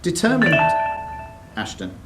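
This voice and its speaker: A man's voice: on the high side, wildly swooping pitch, measured pace, over loud music. A man working as a quiz master, reading questions aloud to contestants. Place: indoors in a club room, mic at a distance. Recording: roomy hall, distant mic, faint noise.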